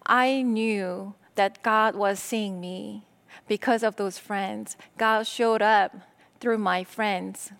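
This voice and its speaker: A middle-aged woman pastor from Korea, whose first language is Korean, preaching a sermon in slow, deliberate phrases with pauses. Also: mid-range voice, fairly even intonation, creaky, fairly bright tone, clear, resonant tone.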